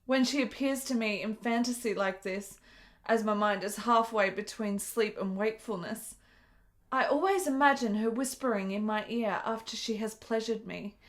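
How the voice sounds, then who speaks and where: A woman's voice: a little high, moderate pace, lightly acted. An actress playing a frail, lonely, ailing woman of 1870s Italy in a radio drama, yearning and wry, with formal, delicate diction. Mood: wistful, yearning. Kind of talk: dramatic radio play dialogue.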